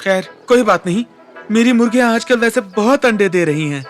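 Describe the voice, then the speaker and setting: A man's voice: high voice, expressive, fairly quick. A man playing an exasperated, put-upon farmer in a cartoon dub, fussy and complaining. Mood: indignant, flustered.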